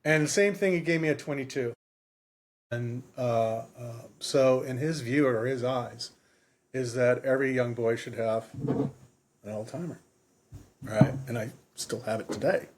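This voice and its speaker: A man's voice: mid-range voice, a little slow, a little mellow, a little hiss or hum. A man in his fifties co-hosting a podcast, chatting casually about books in a rambling way and repeating himself for emphasis. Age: 50s. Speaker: podcast co-host. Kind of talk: casual book discussion.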